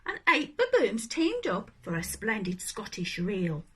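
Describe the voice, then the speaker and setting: A woman reading a children's story aloud in an animated, deliberate manner, addressing young listeners. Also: mid-range voice, breathy edge. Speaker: storybook reader.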